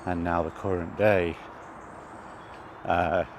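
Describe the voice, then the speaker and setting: A British man giving rambling, casual commentary while walking outdoors, halting and thinking aloud as he goes. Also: low-pitched, little pitch movement. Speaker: video host. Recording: outdoor ambient noise throughout.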